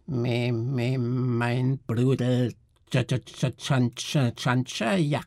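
A man voicing a bloated freakish creature with a feeble, slurred, childlike voice and a halting, drawn-out stammer, in a German literary radio drama. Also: medium pitch, fairly even intonation, vocal fry, a little mellow, no echo, studio quality, pitched above the performer's own voice. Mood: helpless stammering. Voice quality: half-extinguished, slurred childlike articulation.

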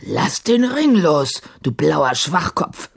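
A woman's voice: low-pitched, sing-song, slow, vocal fry, breathy edge, exaggerated voice. A woman voicing a huge, cruel male djinn in a storybook reading, bellowing, growling and mocking. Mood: snarling.

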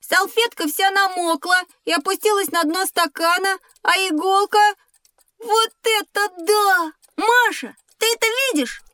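Casting: eager schoolboy, high voice, dry studio sound, skeptical streak, for educational radio play. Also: female voice, unhurried, pushed and bright, no room sound, full character voice. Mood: amazed. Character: curious, excitable schoolboy Petya, eager and skeptical. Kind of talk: scripted educational audio drama.

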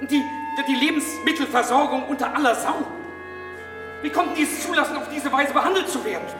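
Woman acting a flustered, high-pitched, indignant character in an echoing hall. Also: brisk, close to an everyday voice. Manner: flustered, repetitive, insistent. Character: agitated, indignant acquaintance urging a lady to protest. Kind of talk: radio drama acting. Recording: echoey hall, distant, loud music behind.

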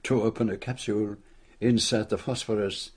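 A man's voice: lowish voice, fairly even intonation, normal speed, breathy edge. An actor playing a shrewd, self-assured male detective with a French accent, speaking precisely and formally in a radio drama. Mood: grave explaining.

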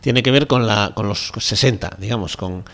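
A man's voice: fairly low pitch, moderate pace, vocal fry, fairly bright tone. A man co-hosting a casual book discussion, hesitant and often correcting himself as he searches for words.

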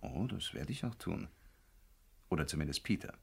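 An actor playing a smug gangster in a radio crime drama, mockingly polite and commanding. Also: male voice, a bass voice, big pitch swings, moderate pace, gravelly, slightly rough, full character voice. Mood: smug, menacing. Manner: mocking, falsely courteous, commanding. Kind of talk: 1970s German radio crime drama acting.

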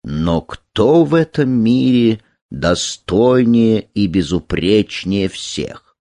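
A man voicing a wise sage and wizard in a storybook tale, ceremonious, deliberate and oratorical. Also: fairly low pitch, expressive, dark tone, no room sound. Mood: pondering.

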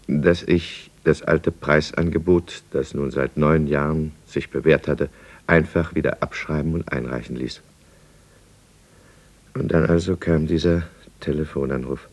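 An actor playing a weary middle-aged businessman who narrates his decline in a 1950s German radio drama, measured and reflective. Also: a bass voice, darkish tone.

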